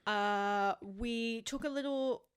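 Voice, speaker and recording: A woman's voice: on the high side, slow pace, clear, resonant tone. A woman with an Australian accent chats casually and informally as a podcast co-host.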